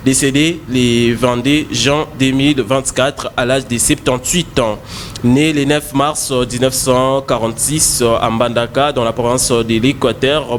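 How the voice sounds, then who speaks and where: A man's voice: medium pitch, slight background noise. A man with a Congolese French accent, co-hosting a morning show and reading news with frequent hesitations. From Congo (DRC). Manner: hesitant, many fillers while reading.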